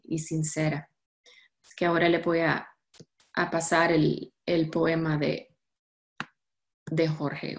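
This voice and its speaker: A woman in her thirties presenting a literature session on a video call, halting with fillers and restarts. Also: fairly low pitch. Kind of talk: casual online talk.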